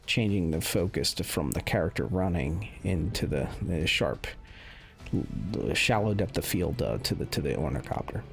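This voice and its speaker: Male game video host, very deep and creaky, casual and unscripted, measured thinking-aloud delivery, light music underneath. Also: lively intonation. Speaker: gaming video host, commentator. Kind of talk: casual off-the-cuff commentary over game benchmark footage.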